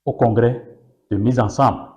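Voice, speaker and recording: A man's voice: mid-range voice, steady tone, moderate pace, a little mellow, highs cut off. A man whose mother tongue is Yoruba, a political guest in an interview, speaking emphatically with repeated phrases and rhetorical questions.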